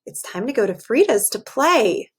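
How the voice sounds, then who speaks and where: A calm mother giraffe from a children's storybook, voiced by a woman reader. She speaks in a fairly high voice, patient and gently instructing. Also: moderate pace, husky, heavily acted.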